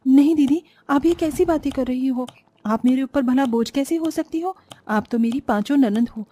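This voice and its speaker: A woman's voice: high-pitched, normal speed, dark tone. A woman voicing an overworked, long-suffering young housewife in a cartoon moral story, plaintive and dutiful.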